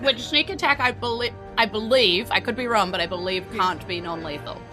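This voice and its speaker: A woman with a New Zealand accent, narrating a tabletop game casually as game master, piecing it together in loose, halting phrases. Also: medium pitch, pushed and bright, soft background music. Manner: hesitant phrasing peppered with "like".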